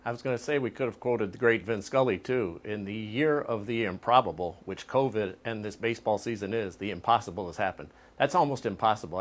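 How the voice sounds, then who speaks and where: A man giving live post-game analysis as a sports commentator, in short, emphatic statements. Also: mid-range voice.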